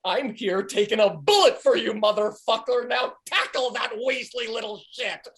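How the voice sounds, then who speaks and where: A woman's voice: fairly high pitch, moderate pace, a bit bright, close to an everyday voice. A woman playing a foul-mouthed, defiant male sidekick in a comedy table read, with pleading, theatrical delivery. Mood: desperate, defiant. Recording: video call.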